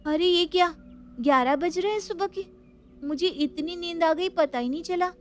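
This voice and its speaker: A woman's voice: very high-pitched, moderate pace, a little hiss or hum. A woman voicing a polite, considerate young daughter-in-law in a family story. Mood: surprised. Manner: polite, deferential.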